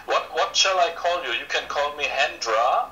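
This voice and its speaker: A man talks in a bright, slightly high voice. He rambles along with frequent fillers and restarts. The room is echoey, with a faint hiss underneath. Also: normal speed. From Indonesia. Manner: rambling, hesitant with many fillers. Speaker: conversation partner. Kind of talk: casual conversation.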